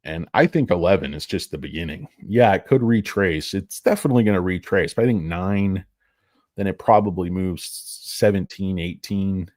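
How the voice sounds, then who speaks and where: A man's voice: low voice, normal speed, a little mellow, dry recording, clean studio sound. A man hosting a casual stock commentary, rambling, crude and sarcastic as he talks through charts and filings. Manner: rambling, crude and sarcastic, with mocking put-on voices. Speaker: stock market video host.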